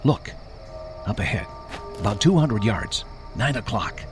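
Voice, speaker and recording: A man's voice: lowish voice, big pitch swings, measured pace, faint music behind. A man playing an uneasy farm-raised man in an audio drama, with folksy, suspenseful delivery. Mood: urgent, hushed. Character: uneasy farm-raised man telling spooky childhood tales.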